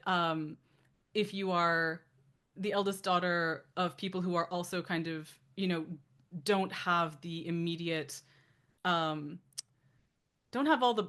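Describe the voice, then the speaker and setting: Woman from Canada, author guest, low voice, chatty, tangents and fillers. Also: fairly bright tone. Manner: thoughtful, chatty, many asides and fillers, laughs easily. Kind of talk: casual interview talk.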